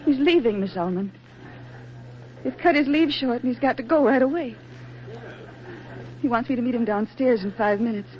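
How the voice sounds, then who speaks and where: A woman plays a young sweetheart with a fairly high voice, devoted and wistful. The delivery is heartfelt old radio melodrama on a muffled vintage recording. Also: normal speed, long pauses, lightly acted. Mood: distressed. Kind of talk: 1940s radio acting.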